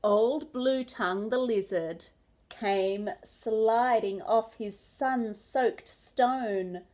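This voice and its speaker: A woman narrates a picture book for children. Her delivery is expressive and very measured. Her voice is on the high side. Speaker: children's storyteller.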